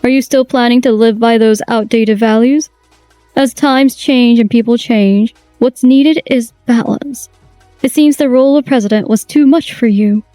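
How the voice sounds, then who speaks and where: Woman voicing a stern calm chairwoman, mellow dry voice, bit high. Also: moderate pace, no room sound, soft background music, lightly acted. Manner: calm, authoritative. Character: stern, composed female company chairwoman.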